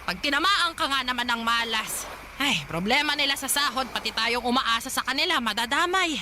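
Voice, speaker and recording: A woman's voice: high voice, animated, brisk, noisy background. An actress playing a stern, weary old grandmother in a radio drama, speaking bluntly. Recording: music playing behind.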